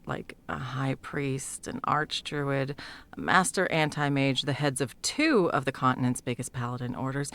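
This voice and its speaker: A woman playing a sardonic, foul-mouthed sound mage in an intimate fantasy audio roleplay, talking sarcastically and emphatically with a creaky edge. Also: rumbling low, fairly bright tone, heavily acted. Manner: sarcastic, emphatic, swearing freely. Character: sardonic, foul-mouthed female sound mage, guiltily helping an old friend.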